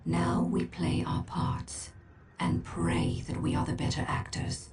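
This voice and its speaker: Solemn alien seer, mid-pitched. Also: steady tone, a little mellow. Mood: solemn, knowing. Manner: measured, formal, portentous. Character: solemn, shrewd alien Eldar farseer. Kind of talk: scripted game cutscene acting. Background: music.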